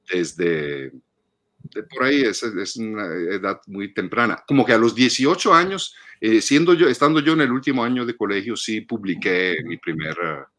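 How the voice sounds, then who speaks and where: Guy in his 50s from northern italy, low voice, thinks out loud and repeats words in a casual interview. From Padua region, northern Italy. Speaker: guest, film critic and teacher.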